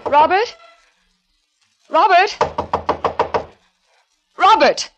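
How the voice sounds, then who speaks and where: An actress playing an anxious, proud wife desperately in love, with a melodramatic, emotional delivery in a 1950s radio drama. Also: female voice, extremely high pitch, animated, very slow, noisy background, full character voice.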